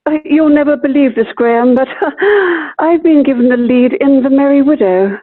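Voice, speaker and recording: Woman playing self-important drama society diva, high-pitched, theatrical, gushing, radio comedy, tinny call audio. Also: animated. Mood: boastful delight. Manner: theatrical, grandly drawn-out delivery. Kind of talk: comic radio play acting. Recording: tinny narrow-band video call audio.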